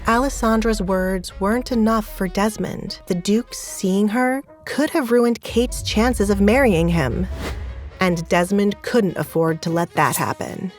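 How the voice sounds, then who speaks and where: A woman's voice: mid-range voice, unhurried, faint music behind. A woman narrating a scripted dramatic story as its narrator.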